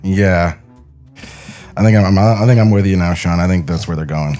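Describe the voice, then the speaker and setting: A man's voice: sits low, moderate pace, warm tone, soft background music. A man co-hosting a podcast with casual, comedic banter. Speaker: podcast co-host.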